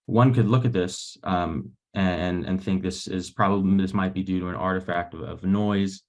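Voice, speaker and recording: Young male researcher, deep voice with vocal fry, halting delivery, academic video-call presentation. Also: fairly even intonation. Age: young adult. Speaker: graduate researcher presenting and answering questions. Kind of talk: academic webinar talk and Q&A. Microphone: video call microphone.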